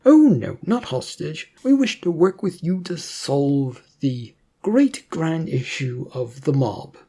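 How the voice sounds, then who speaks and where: A narrator voicing a composed male secret agency boss in an adventure story, speaking politely and formally in measured phrases. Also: medium pitch, big pitch swings, dark tone, husky, full character voice. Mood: reassuring.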